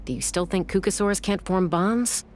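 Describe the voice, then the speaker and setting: A woman's voice: mid-range voice, moderate pace, darkish tone, a little hiss or hum, natural voice. An actress playing a proud, guarded young woman in a video game, terse and restrained in her wording. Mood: wry.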